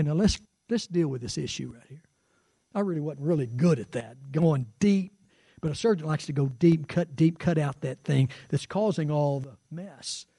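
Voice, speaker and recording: A man's voice: a little high, warm tone, dry recording, studio quality. A man in his sixties preaching a sermon as pastor, conversational and fervent, with rising exclamations. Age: 60s. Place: church sanctuary.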